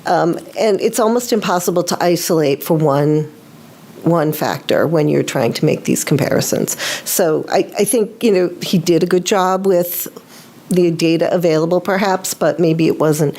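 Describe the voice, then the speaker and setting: A woman board member giving her view at a town meeting, measured and thoughtful. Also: fairly low pitch, a little mellow. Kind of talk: deliberating at a public meeting.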